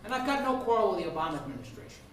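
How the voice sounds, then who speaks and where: A man who is a law professor speaking in a debate, laying out his case deliberately in short rhetorical phrases. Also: high voice, big pitch swings, a bit bright. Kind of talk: spoken legal debate. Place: indoor room at a law school event. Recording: very echoey room, distant mic, faint noise.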